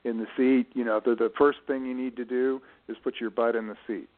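A middle-aged or older man answering interview questions conversationally, reflective and halting, leaning on key words. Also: mid-range voice, level tone. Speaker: interview guest, author and producer. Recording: narrow tinny phone line.

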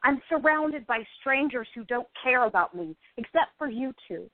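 Woman playing stubborn fed-up actress around thirty in a radio play, high swoopy emphatic voice, sounds like a phone line. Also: expressive, normal speed, no room sound. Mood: defiant. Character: disillusioned thirty-year-old struggling actress, frustrated and stubborn. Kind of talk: amateur radio play acting. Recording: tinny phone-line internet radio audio.

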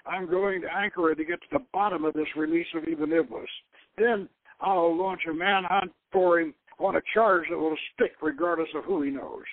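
A man voicing a brisk, authoritative, businesslike colonel in a novel reading. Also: on the high side, fairly even intonation, no room sound, phone-quality sound.

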